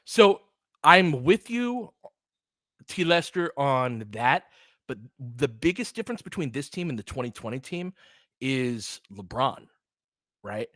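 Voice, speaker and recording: A man with a bright, forceful voice at a middle pitch hosts a fan play-by-play stream. He talks casually and with firm opinions, addressing listeners directly and slipping into clipped game calls. The sound is dry, with very little room in it. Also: sing-song, measured pace, no echo. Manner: conversational, opinionated, answers chat commenters by name.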